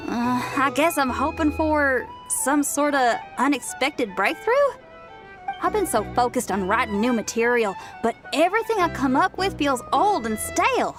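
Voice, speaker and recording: A woman voicing an upbeat young female rock musician who talks in a slangy, energetic, laid-back way, in video game dialogue. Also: sits high, moderate pace, music in the background.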